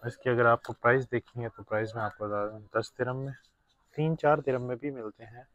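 A man's voice: medium pitch, steady tone, brisk, a bit bright, dull audio. A young man talks casually to his followers and drops English words in as he goes. He sounds like a shopping vlog filmed inside a big discount store, picked up by the phone in his hand. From Pakistan. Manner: chatty, mixes in English words, talks to viewers as brothers. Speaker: vlogger. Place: large indoor discount store. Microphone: phone held by the filming hand.

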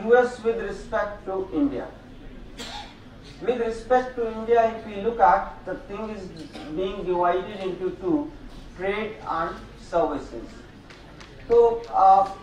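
Older guy giving a keynote at a podium, fairly high voice, slow and halting, big echoey hall. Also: a little mellow, far from the mic. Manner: slow, halting lecture with frequent fillers. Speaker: keynote speaker. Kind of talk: academic conference keynote. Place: large auditorium at a lectern. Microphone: podium microphone, room sound. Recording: echoey hall, voice from afar, faint noise.

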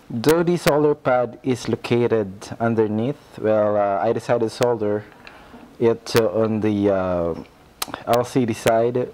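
A middle-aged man casually demonstrating an electronics modification at home, talking hesitantly with many pauses. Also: mid-range voice, darkish tone, a little hiss or hum, rough recording. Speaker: electronics hobbyist. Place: home room indoors.

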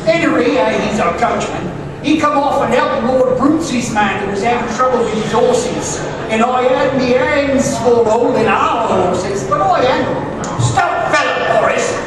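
A man's voice: very high voice, unhurried, a loud crowd. Man playing deaf old footman in echoey hall. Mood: folksy, proud. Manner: hard of hearing, rustic plain-spoken. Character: old deaf loyal male footman, fifty years in service. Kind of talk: old-time radio acting read live. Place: live stage reading in an auditorium. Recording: distant echoey hall, audience noise.

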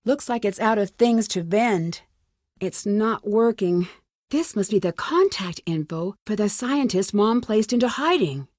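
A woman's voice: mid-range voice, animated, measured pace, darkish tone, no echo, studio quality. An American woman playing a curious, plucky young amateur detective in a video game, talking to herself in short, wry remarks. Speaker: voice actor in a detective adventure game. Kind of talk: video game character lines.

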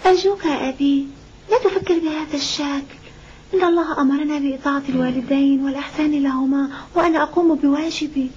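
Woman acting high-voiced, devoted young girl. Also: fairly even intonation, fast talker, close to an everyday voice. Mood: dutiful, caring. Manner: gentle, earnest, reassuring. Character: devoted, pious young village daughter. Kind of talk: Arabic cartoon dub acting. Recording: dull, noisy old cartoon soundtrack.

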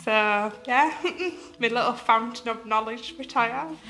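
A woman's voice: fairly high pitch, a little slow, sharp tone. A woman from Barnsley with a broad Barnsley Yorkshire accent, a nurse speaking down-to-earth in an interview. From Barnsley, England. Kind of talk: sit-down interview.

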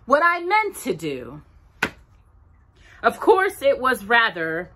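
Woman reading as bumbling gentle male bear, storybook, slow, wondering. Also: a little high, wildly swooping pitch, slight background noise, pitched above the performer's own voice, full character voice.

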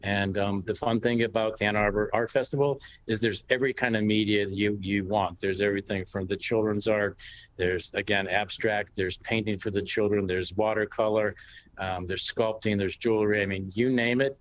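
American man in his sixties, lowish voice. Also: fairly even intonation, moderate pace, slight background noise, low-quality recording. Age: 60s. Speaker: interview guest, painter. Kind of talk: casual conversational interview answers. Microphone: video call, sitting back from the device.

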